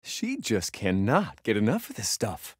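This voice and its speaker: Low, husky, doting game dad. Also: male voice, huge pitch swings, measured pace, in a large hall, full character voice. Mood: tender, devoted. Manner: gentle, affectionate. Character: tender, doting young father musing about his baby daughter. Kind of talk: reflective video game voice acting.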